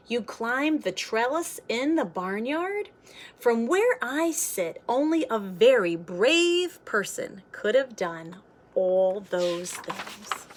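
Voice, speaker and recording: A woman reading the part of a soft-spoken, steady old grandmother in a storybook, gentle and reassuring. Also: on the high side, a little hiss or hum.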